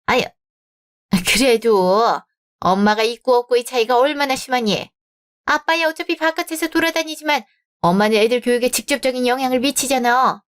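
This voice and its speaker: Woman as overbearing middle-aged mother, nagging, indignant, high-ish voice, radio drama. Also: moderate pace, fairly bright tone, clear, resonant tone, close to an everyday voice.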